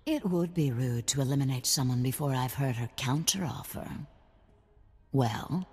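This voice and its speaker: A woman playing a sultry, sadistic video game crime lady with a languid, teasing, drawn-out delivery. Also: very deep voice, darkish tone, slightly rough, long reverb tail. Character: sultry, sadistic crime lady who relishes blood sports.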